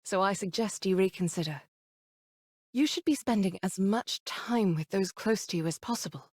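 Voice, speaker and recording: An actress playing a composed, caring young woman who leads a squad in a video game, measured and gently reasoning. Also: medium pitch, slightly rough, dry recording, lightly acted. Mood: gentle, earnest.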